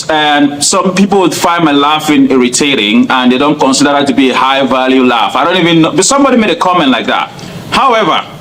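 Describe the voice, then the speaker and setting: A man's voice: fairly high pitch, a bit bright, a little hiss or hum, low-quality recording. A man from Cameroon with a Cameroonian accent, English not his first language, answering interview questions earnestly and point by point. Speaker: guest.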